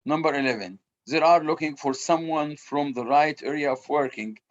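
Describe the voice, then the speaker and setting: A man from Egypt with an Egyptian accent, teaching an English class and halting often, repeating his words. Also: on the high side, highs cut off.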